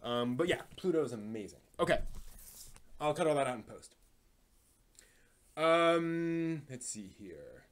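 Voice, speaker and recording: A man's voice: a little high, sing-song, a little slow, long pauses. A man hosting a casual live stream about anime, thinking aloud and rambling off on tangents as he chats with viewers.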